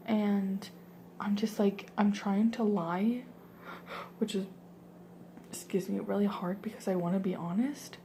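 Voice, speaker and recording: A young woman tells a story in a soft, hushed whisper, wandering off track with plenty of "like" and "um". Her voice stays flat and mellow. A faint hiss sits behind her. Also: medium pitch, measured pace, long pauses. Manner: soft whisper, rambling with many fillers.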